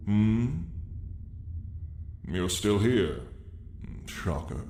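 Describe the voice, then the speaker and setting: A man voicing a haughty, sardonic dragon in a slow, condescending, theatrical drawl for an ASMR roleplay story. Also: lowish voice, very animated, mellow, reverberant, a little hiss or hum, heavily acted. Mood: amused disdain. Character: haughty, sardonic dragon, apex predator of a mountain.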